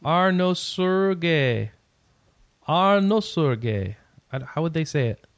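A man's voice: a little high, lively intonation, takes it slowly, no room sound. A man hosting a casual podcast, reading out gaming news with rambling, sarcastic asides that trail off into mumbles.

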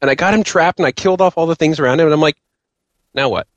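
A man chatting casually on a podcast panel about a video game, recounting things in an animated way and acting out his own reactions. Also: a little high, fast talker, dry recording.

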